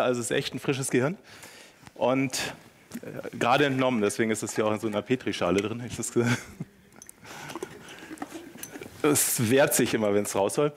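A man in his fifties speaks at a medium pitch. He presents at a conference in an informal, playful way, often turning to his listeners with questions. He is picked up on a headset mic. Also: a little hiss or hum. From Germany (Hanover). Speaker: keynote speaker, professor. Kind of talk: lively conference talk to an audience. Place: large hotel conference room.

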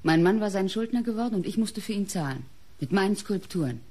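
An actress playing an artist's proud, bitter wife, indignant and defensive, in a radio crime play. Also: female voice, mid-range voice, animated, fast, dark tone, close to an everyday voice. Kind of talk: German radio play acting.

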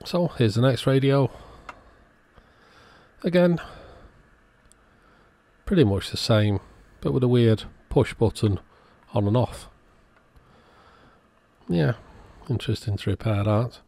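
A man's voice: medium pitch, takes it slowly, vocal fry, long pauses, mellow. A man giving a casual, matter-of-fact workbench narration as the host of an electronics repair video.

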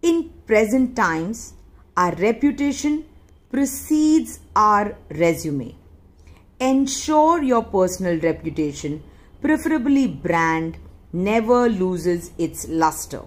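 A woman reads a written article aloud as an audiobook narrator. Her pace is slow, with deliberate phrases and frequent pauses. Her medium-pitched voice rises and falls in a lively way. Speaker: audiobook reader.

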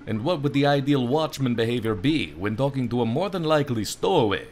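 A man's voice: mid-range voice, very animated, a little fast, a little mellow, slight background noise, lightly acted. A man voicing an earnest, cheeky young male stowaway hero in an adventure game. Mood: protesting.

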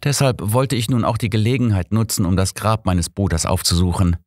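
A man's voice: lowish voice, fairly quick, no room sound, clean studio sound, pitched above the performer's own voice, close to an everyday voice. A man voicing an earnest, sorrowful businessman in an audiobook, polite and explanatory in manner. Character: earnest, sorrowful businessman from abroad, protesting innocence.

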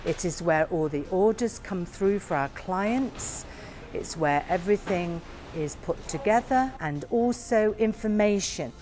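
Slow-talking woman giving a tour. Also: medium pitch, lively intonation, a little mellow, slight background noise. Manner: slow, plain, explanatory. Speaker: warehouse tour guide. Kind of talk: guided tour narration.

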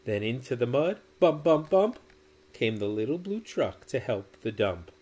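A man in his forties reading a children's storybook aloud in a measured, sing-song way. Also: mid-range voice, big pitch swings, darkish tone. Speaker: storybook reader. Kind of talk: expressive children's read-aloud. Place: small indoor room.

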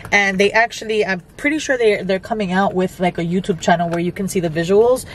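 A woman's voice: medium pitch, a little fast. A young woman in her twenties talking casually to the camera while driving, full of "like" and "um". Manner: chatty, filler-heavy talk. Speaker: vlogger. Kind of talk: casual chat. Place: inside a car. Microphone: camera on the dashboard. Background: car and road noise.

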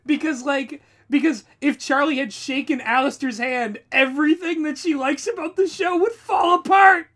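Bright-voiced, excitable man chatting mockingly. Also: very high voice, moderate pace, hardly any pauses. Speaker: co-host, audio drama writer. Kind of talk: casual reaction chat.

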